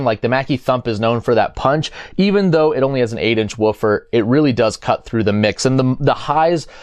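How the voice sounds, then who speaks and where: A man's voice: mid-range voice, darkish tone, no room sound, studio quality. A man talking casually and unscripted as a product reviewer, explaining things in a conversational way.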